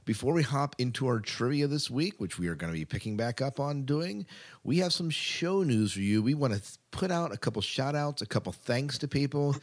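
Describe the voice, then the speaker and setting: A man's voice: mid-range voice, lively intonation, brisk. A man co-hosting a podcast in casual chat, rambling along informally and with enthusiasm. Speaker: podcast co-host.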